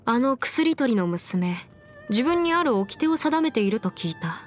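Casting: proud, playful young woman legal expert in an anime game; raised pitch, articulate, self-assured, music underneath. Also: big pitch swings, measured pace. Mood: admiring. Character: confident, clever young female legal advisor, proud and playful. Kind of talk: Japanese anime game character voice lines.

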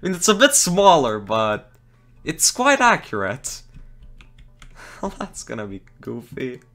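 Excitable young male game streamer. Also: medium pitch, unhurried, soft background music. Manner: excitable, laughs often, groans at fails. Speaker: gaming streamer. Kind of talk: casual live-stream commentary.

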